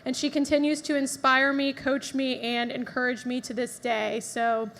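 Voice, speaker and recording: Earnest woman on stage, high bright voice, long hall echo. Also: flat delivery, normal speed. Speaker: presenter at a live talk night. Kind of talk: heartfelt personal story on stage.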